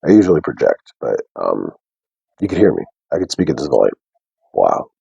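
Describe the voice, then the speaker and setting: A young man with a deep bass voice, talking softly and casually to viewers on a live stream. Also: sing-song, fairly quick, creaky, slightly rough, no echo, highs cut off. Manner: soft, unprojected speech. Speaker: livestream host. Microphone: wearing a mic.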